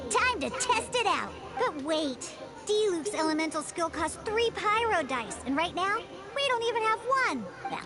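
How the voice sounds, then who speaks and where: A very high, animated young woman's voice guides the player through an anime fantasy game. She is chatty and playful, explaining rules in scripted, encouraging lines. Loud game music plays behind her. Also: heavily acted. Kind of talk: scripted game tutorial dialogue.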